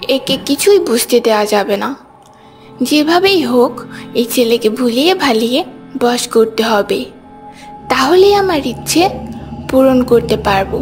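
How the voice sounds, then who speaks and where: A woman's voice: a little high, animated, a little slow, pauses often, faint music behind. A woman voicing a sly, manipulative female ghost who poses as a helpless girl in a cartoon story. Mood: sly, scheming.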